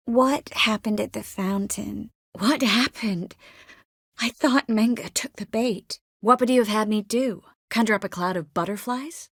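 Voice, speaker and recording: An actress playing an earnest, caring young sorceress in a video game, expressive and by turns determined and wistful. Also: female voice, on the high side, dry recording, clean studio sound. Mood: questioning, indignant. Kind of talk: video game character dialogue.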